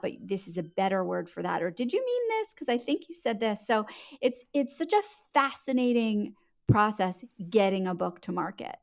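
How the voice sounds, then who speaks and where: A woman's voice: lowish voice, vocal fry. A woman speaking as a guest author in a conversational podcast interview, explaining things articulately and stressing key words.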